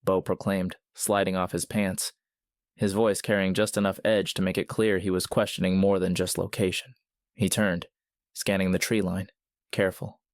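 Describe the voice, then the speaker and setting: A man narrating a scripted story in a measured, literary manner. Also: low-pitched, little pitch movement, no room sound, clean studio sound.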